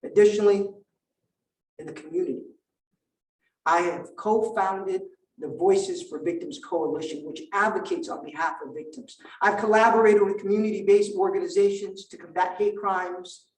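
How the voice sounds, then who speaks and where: A middle-aged man from Vietnam, a native Vietnamese speaker, delivers a stump speech in a notably high voice. He punches out rhetorical lists with deliberate pauses. He is picked up from some distance in a reverberant hall. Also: fairly even intonation. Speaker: political candidate at a forum. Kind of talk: campaign speech and forum answer. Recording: roomy hall, distant mic.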